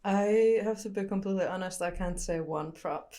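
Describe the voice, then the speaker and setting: A young woman from Finland, a photographer answering interview questions candidly and thoughtfully, often pausing to search for words. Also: medium pitch, mellow. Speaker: photographer guest.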